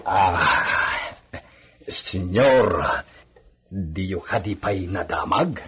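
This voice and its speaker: An actor playing a worried, respectful hacienda servant in a radio drama, speaking earnestly. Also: male voice, sits low, animated, drawn-out words, a bit bright, husky, full character voice. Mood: deferential, worried. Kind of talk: Ilocano radio drama acting.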